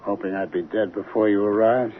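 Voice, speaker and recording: An actor playing a bitter, suspicious, sarcastic patient, sneering and combative in old-time radio drama style. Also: male voice, lowish voice, steady tone, fairly quick, close to an everyday voice. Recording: tinny, hissy old radio broadcast.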